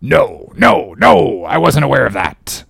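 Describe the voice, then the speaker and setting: Guy doing a creepy cracked old seaman, taunting, repeats himself, old sea talk, higher pitched, audiobook style. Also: sing-song, takes it slowly, creaky, a bit bright, husky, no room sound, clean studio sound, full character voice. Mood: wondering. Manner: odd, muttering repetitions in old nautical dialect. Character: eerie, cracked old sailor, cryptic and taunting.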